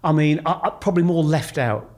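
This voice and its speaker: A man's voice: fairly high pitch, a little mellow. Reflective English man in his fifties. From Lancashire, England. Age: 50s. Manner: measured, reflective storytelling. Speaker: podcast guest, recruitment director. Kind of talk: casual conversational interview.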